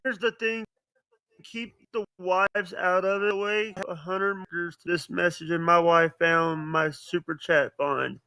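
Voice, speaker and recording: High, bright-voiced man, halting talker. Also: steady tone, clear, resonant tone, no room sound, rough recording. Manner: halting, repeats phrases and starts over. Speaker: podcast guest. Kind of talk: casual livestream chat.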